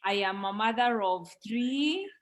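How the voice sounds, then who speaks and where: A woman's voice: a little high, expressive, unhurried. A woman in her thirties from Kenya, a parent interviewed on a podcast, telling her story earnestly with frequent ums. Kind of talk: conversational storytelling.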